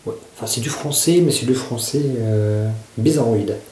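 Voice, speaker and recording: A man's voice: medium pitch, normal speed, a little mellow, slight background noise. A man casually reviewing survival gear to camera. He often hesitates and searches for his words. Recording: echoey room.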